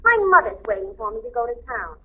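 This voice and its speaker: A woman's voice: on the high side, sing-song, quick pace, breathy edge. A woman playing a dismissive, envious teenage girl in a 1940s radio sitcom, curt and falsely offhand. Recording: muffled old radio recording.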